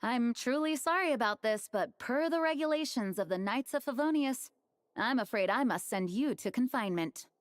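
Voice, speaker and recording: A formal female knight, played by a woman, polite but firm in her duty. Her voice is slightly high and lively in pitch, recorded dry and close. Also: moderate pace, no echo, close to an everyday voice. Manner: formal, apologetic. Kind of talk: game voice acting.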